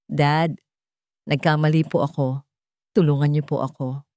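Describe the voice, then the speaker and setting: A woman's voice: sits low, flat delivery, a little slow, darkish tone, no echo, clean studio sound. A woman voicing a hurt young wife who turns coldly determined, in a radio drama story. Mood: tearful, pleading.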